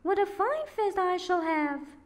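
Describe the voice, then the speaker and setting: A woman voicing a wicked, spiteful old witch in a storybook reading, with a shrill, loud and bossy way of talking. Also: very animated, unhurried, exaggerated voice. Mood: gloating.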